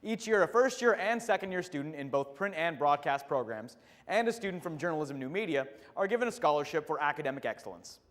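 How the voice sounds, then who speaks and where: A man's voice: a little high, expressive, fast, fairly bright tone, big-room sound. A man hosting an awards ceremony, announcing the next presenter with a light joke. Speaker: awards host. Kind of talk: scripted announcing.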